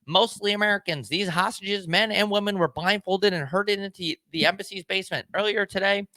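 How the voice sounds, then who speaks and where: A man's voice: sits high, fairly quick, bright, forceful tone, no echo. A man playing a television news reporter, reading a crisis bulletin in a formal, urgent, declarative manner. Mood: urgent, grave.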